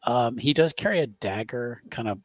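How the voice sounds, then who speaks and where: Animated man with slightly dull sound. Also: medium pitch, moderate pace, no echo. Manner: stop-start, repeats words. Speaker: player in a tabletop game. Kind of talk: casual improvised game chat.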